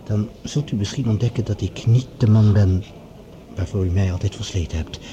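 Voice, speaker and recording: An actor playing a smooth, calculating male professor who speaks formally and oratorically, in radio drama style. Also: fairly low pitch, moderate pace, reverberant, dull audio, a crowd in the background, close to an everyday voice. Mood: polite condolence.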